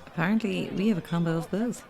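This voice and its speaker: An actress playing a blunt, sardonic Irish news reporter in a naturalistic audio drama. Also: female voice, lowish voice, fast, gravelly, a little mellow. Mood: wry. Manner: dry, sardonic.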